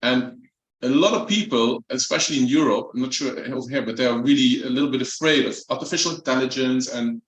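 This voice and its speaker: A man from the Netherlands with a Dutch accent, giving a relaxed, chatty speech as a company executive at a launch event. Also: medium pitch, fast talker. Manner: relaxed, chatty, light jokes. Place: event room. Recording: echoey hall.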